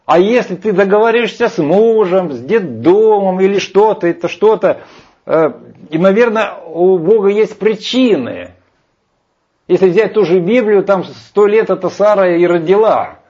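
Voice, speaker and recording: A man's voice: high-pitched, lively intonation, normal speed, a little mellow. A man arguing emphatically in a heated discussion, rambling with hesitations. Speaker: speaker lecturing on Vedic scripture. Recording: dull lo-fi sound.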